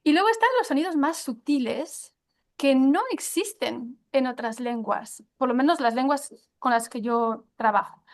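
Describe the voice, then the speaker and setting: High-voiced woman, hesitant teacher talk. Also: moderate pace. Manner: hesitant, many fillers and restarts. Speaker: guest, pronunciation teacher. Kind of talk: conversational interview answers.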